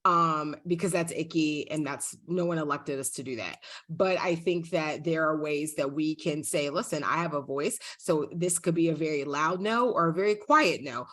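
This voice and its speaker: A woman's voice: sits low, moderate pace, a bit bright. A woman state representative answering a panel question on a video call, candid and emphatic in a conversational way.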